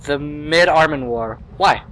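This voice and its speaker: Male actor as young mechanic for a radio play: casual, easygoing, bright, slightly high for a man. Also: a little slow, slight background noise. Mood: casual, chatty. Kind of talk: amateur radio play acting.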